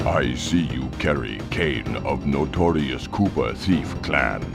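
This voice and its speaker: An actor voicing a huge, proud, menacing panda crime lord in a video game, speaking in a formal, pompous manner. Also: male voice, a bass voice, animated, vocal fry, loud music behind the voice, full character voice. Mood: haughty menace. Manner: formal, pompous, slow-spoken.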